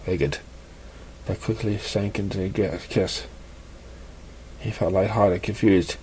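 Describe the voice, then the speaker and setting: A man's voice: low-pitched, steady tone, a little slow, darkish tone, slight background noise, rough recording. A man reading a scripted story aloud as a narrator, in a dramatic storytelling style. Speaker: fan fiction reader.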